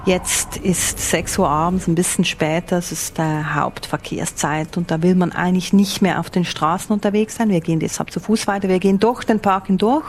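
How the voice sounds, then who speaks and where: Swiss woman reporter explaining stuff in an interview, lowish voice, bit of background noise. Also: steady tone, normal speed, few pauses. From Switzerland. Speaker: radio foreign correspondent. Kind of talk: interview answers, descriptive reporting.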